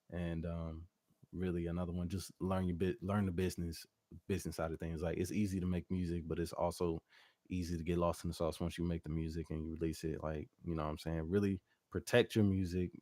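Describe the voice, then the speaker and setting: Male music artist interviewee, very low voice, dry close sound, slangy rambling casual talk. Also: warm tone, no echo. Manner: slangy, rambling, frequent "you know what I'm saying". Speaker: guest recording artist and music producer.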